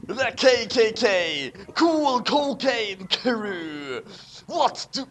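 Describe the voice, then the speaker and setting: An amateur reader delivers text theatrically in a slow, halting way. The pitch swings widely, and faint noise sits behind the voice. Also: sing-song, a bit bright. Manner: halting, stumbles over misspelled text. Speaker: reader of a fanfic. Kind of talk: dramatic amateur reading with casual asides.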